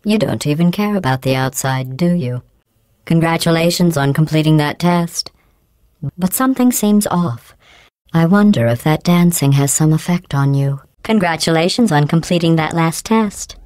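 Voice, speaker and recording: A woman voicing a cold, sarcastic female AI overseer in a video game, with robotic processing on her voice and deadpan, mocking praise. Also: low-pitched, moderate pace, no echo. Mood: dry mocking. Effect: synthetic robotic voice processing.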